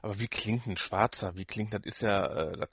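A man chatting casually as a podcast co-host, in a halting way with restarted phrases. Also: lowish voice, creaky, a bit bright, slightly rough, no echo, studio quality. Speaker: podcast co-host reviewing audio dramas. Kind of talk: casual chat.

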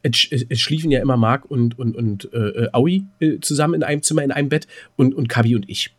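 A man's voice: medium pitch, fast talker. A man co-hosting a casual, rambling podcast, often stammering and repeating words with a jokey, teasing manner. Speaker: podcast co-host.

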